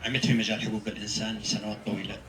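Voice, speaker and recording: A man from Yemen giving a halting public statement at a press conference. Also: lowish voice, level tone. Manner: halting, with frequent hesitations. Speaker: human rights lawyer speaking at press conference. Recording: echoey room, distant mic, faint noise.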